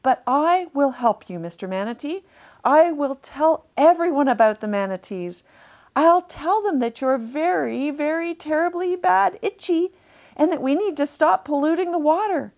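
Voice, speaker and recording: A woman's voice: sits high, lively intonation, moderate pace, a little mellow, voice pushed up. A woman voicing a kind little girl in a children's storybook, with an earnest, reassuring way of speaking. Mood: reassuring, determined.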